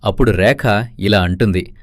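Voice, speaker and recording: A man narrating a cartoon family moral story in a lively storytelling way. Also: fairly low pitch, normal speed, no room sound, clean studio sound.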